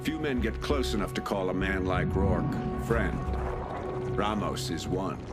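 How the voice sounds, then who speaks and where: An actor playing a middle-aged military commander and father in a video game, stern and seasoned, speaking in a grave, measured, authoritative way. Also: low voice, expressive, over loud music. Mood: grim, resolute. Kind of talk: dramatic video game acting. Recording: loud cinematic score over the voice.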